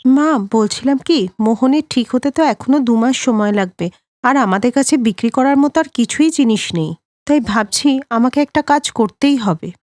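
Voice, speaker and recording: A woman's voice: a little high, animated, moderate pace, darkish tone, dry recording, lightly acted. A woman voicing a devoted, determined young village wife and mother in a cartoon story. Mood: earnest, resolute.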